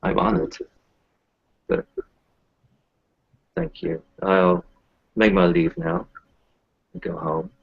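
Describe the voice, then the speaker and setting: Low-pitched, weary kinfolk doctor played by a man. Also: sing-song, takes it slowly, creaky, long pauses, slightly rough, dry recording, dull audio, heavily acted. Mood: earnest. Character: weary, kindly male kinfolk doctor who lost his children. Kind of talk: tabletop roleplay, improvised in character.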